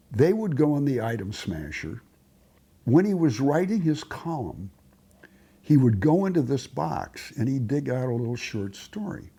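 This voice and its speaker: Husky, animated man telling stories. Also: mid-range voice. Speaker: documentary storyteller. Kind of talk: documentary storytelling.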